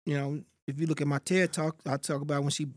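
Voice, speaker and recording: A middle-aged man speaks as a guest on a studio podcast, laying out his thoughts in a measured, philosophical way. His voice sits a bit high for a man. The sound is close and dry. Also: level tone, dry recording. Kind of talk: reflective interview talk. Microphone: studio mic on boom.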